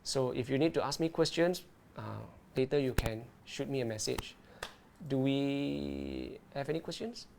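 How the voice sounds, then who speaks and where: A man presenting an underwater video tutorial and Q&A live. He talks in a lively way and keeps checking in with his viewers. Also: medium pitch, unhurried, creaky, slight background noise. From Malaysia.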